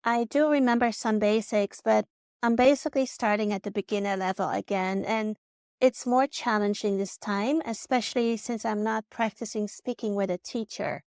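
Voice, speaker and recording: A woman's voice: medium pitch, fairly even intonation, moderate pace, darkish tone, clear, resonant tone, dry recording, clean studio sound. A woman from the Netherlands with a Dutch accent, narrating a personal vlog in an earnest, reflective way. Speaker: vlogger.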